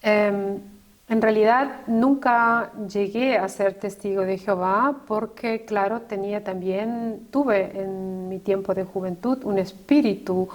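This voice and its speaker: Chilean woman, fifties, interview guest; reflective, halting delivery with frequent restarts. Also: medium pitch, steady tone, a little mellow. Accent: Chilean Spanish. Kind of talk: personal testimony in conversation.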